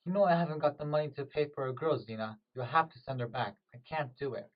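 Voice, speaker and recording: A man's voice: on the high side, fast, natural voice. A man playing a poor, conflicted farmer with rural old-fashioned phrasing in an amateur period drama. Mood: firm, frustrated. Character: poor, conflicted married farmer, secretly in love. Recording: muffled audio, distant microphone.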